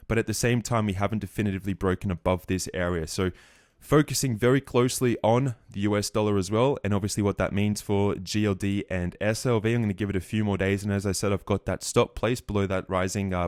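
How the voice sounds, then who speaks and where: A man giving a market recap over his trading charts, a bit croaky, walking through trades confidently. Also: fairly low pitch, no echo, clean studio sound. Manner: fast, confident chart walkthrough. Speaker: trading educator.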